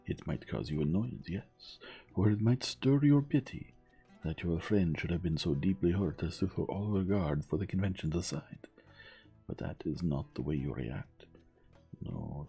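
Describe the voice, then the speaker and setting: Male narrator doing a courteous, relentless Belgian detective, dark low tone. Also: vocal fry, breathy edge, exaggerated voice. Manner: measured, courteous, relentlessly probing. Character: shrewd elderly male Belgian detective, polite but probing.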